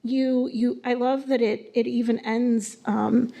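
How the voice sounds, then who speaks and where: Woman, interviewer, creaky voice, warm dark tone, thoughtful. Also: a little high, level tone, slow pace, highs cut off. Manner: thoughtful, searching for words. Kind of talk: casual interview questions. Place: bookstore event.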